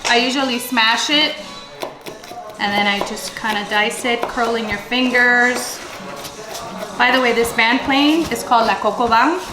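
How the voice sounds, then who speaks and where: A woman's voice: a little high, steady tone, measured pace. A woman from the Dominican Republic hosting a casual cooking vlog in her home kitchen, chatting playfully with viewers and the kids around her. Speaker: cooking channel host. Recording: echoey kitchen with music playing.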